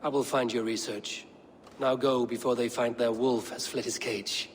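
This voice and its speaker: An actor playing a bold, proud Viking warrior in a video game, speaking in a blunt, boastful, measured way. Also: male voice, medium pitch, steady tone, a little hiss or hum, natural voice. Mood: wry, resolute. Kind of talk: dramatic video game acting.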